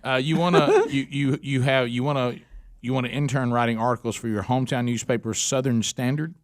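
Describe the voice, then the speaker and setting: A man's voice: medium pitch, brisk. A man hosting a talk radio show, teasing a new intern. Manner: teasing, stammers and repeats himself. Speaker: radio host. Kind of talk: casual talk radio banter.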